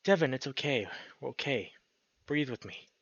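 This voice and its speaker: A man's voice: medium pitch, animated, normal speed, fairly bright tone, husky, dry recording. A man voicing a caring, wry male coyote, a ghostly boyfriend in a visual novel. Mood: gentle, concerned. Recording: dull lo-fi sound, music behind.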